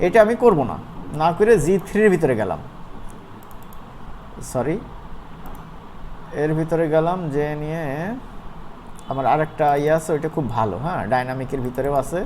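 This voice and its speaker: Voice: mid-range voice, expressive, moderate pace, pauses often, a little mellow, slight background noise. An animation course instructor with a regional Bangladeshi Bengali accent, teaching informally and often checking in with questions. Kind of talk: informal live software tutorial.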